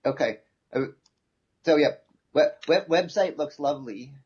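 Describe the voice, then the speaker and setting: A man with a slightly higher-pitched voice leads a class review on a video call. He speaks informally and hesitantly, as if thinking aloud. The audio is dull and lo-fi. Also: lively intonation, a little slow, darkish tone, husky. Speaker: instructor leading an online class review.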